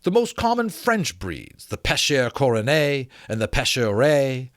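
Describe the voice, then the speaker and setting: A man voicing a pompous, learned gentleman scholar in a dramatic storybook reading, formal and emphatic as he lectures. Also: mid-range voice, sing-song, measured pace, no room sound, studio quality. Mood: lecturing, emphatic.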